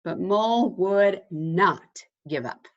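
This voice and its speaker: A woman reading a children's storybook aloud in an animated, dramatic way, with a husky voice. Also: mid-range voice, slow pace, dull audio.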